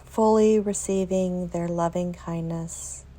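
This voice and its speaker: Female meditation guide: mellow, relaxed, slightly low voice, gentle and soothing delivery with unhurried pauses. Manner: soft, soothing, with long pauses.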